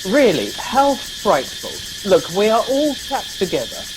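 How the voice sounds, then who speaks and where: Man acting male sergeant type, genteel, drawling, very varied pitch, comic radio play. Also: very animated, unhurried, background noise. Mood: appalled. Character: genteel, languid public-school English male second-in-command.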